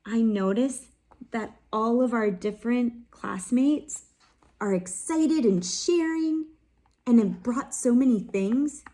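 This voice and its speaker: Slow, gentle woman reading to children. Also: fairly high pitch. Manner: gentle, drops to soft whispers, asks listeners questions. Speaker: children's read-aloud host. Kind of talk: expressive picture-book read-aloud with teaching pauses.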